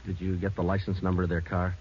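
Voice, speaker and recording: An actor playing a terse, no-nonsense detective sergeant in a 1940s radio police drama, clipped and deadpan. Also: male voice, deep voice, fast talker, slight background noise, natural voice. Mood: terse, probing. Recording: dull vintage radio recording.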